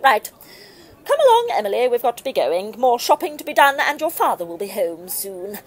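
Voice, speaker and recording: A woman's voice: a little high, sing-song, moderate pace. An actress playing a selfish, indignant mother in a comic audio drama, imperious, scornful and emphatic. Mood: scornful, bossy. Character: haughty, selfish, bossy, indignant well-to-do mother.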